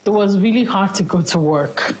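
A woman in her twenties, a Yoruba speaker, telling her personal story in an interview in a reflective, unhurried way, often trailing off and restarting. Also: medium pitch, a little mellow, roomy sound, highs cut off, slight background noise. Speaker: interview guest.

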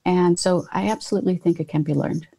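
A woman in her fifties, from Poland, answers questions with a lowish voice. Her tone is mellow and soft-edged, and she talks earnestly, often restarting with "um" and "you know". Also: steady tone, quick pace, creaky. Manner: earnest, with frequent fillers and restarts. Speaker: guest entrepreneur. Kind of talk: conversational interview.

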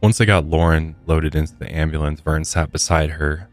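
A man with an extremely deep voice hosts a true crime podcast. He speaks conversationally and matter-of-factly, measured but with casual asides, over music in the background. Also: flat delivery, no echo. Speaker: podcast host.

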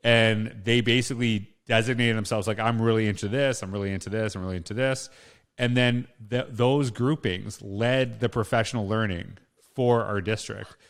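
Casting: middle-aged Canadian man, low voice, informal interviewer who thinks aloud and rephrases as he goes. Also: fairly even intonation, normal speed. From Canada, small town Saskatchewan. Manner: informal, rambling, self-rephrasing. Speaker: podcast host. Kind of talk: casual conversational interview.

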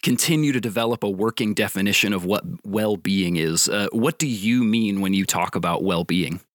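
Low-voiced podcast host from Indiana. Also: male voice, normal speed, no echo, studio quality. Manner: friendly, affirming, laughs along. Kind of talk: interview questions.

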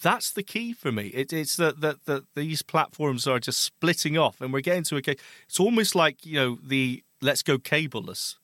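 Bright-voiced man, friendly podcast co-host. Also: a little high, expressive, moderate pace, hardly any pauses, no room sound, studio quality. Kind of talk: casual chat.

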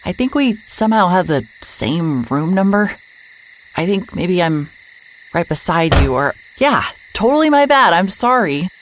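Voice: medium pitch, sing-song, a little slow, slight background noise, rough recording. An actor playing a weary, sardonic private investigator in an audio drama, narrating in a dry noir manner. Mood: flustered, annoyed.

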